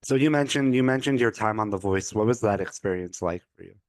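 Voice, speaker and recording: Deep-voiced man, dry close sound. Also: a little fast, no room sound. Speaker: podcast host. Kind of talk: interviewing.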